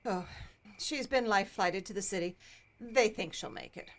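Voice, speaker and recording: Woman as wealthy small-town lady in radio drama; formal, clipped, evasive, middle-pitched. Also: moderate pace, a bit bright, natural voice. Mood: guarded. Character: prim, guarded, well-off small-town woman.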